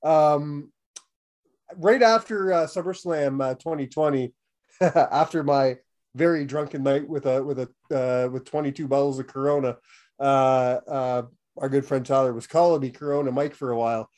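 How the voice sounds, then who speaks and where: A man's voice: mid-range voice, moderate pace, a little mellow. A man in his thirties co-hosting a casual wrestling podcast while sick and losing his voice, rambling with lots of fillers and restarts. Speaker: podcast co-host.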